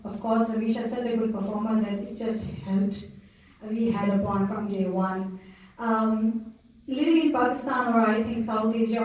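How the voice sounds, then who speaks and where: A woman's voice: medium pitch, far from the mic, a little hiss or hum. A woman answering at a film festival Q&A, speaking earnestly and reflectively about her role. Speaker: actress on a panel. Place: cinema auditorium. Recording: roomy hall, mic from afar.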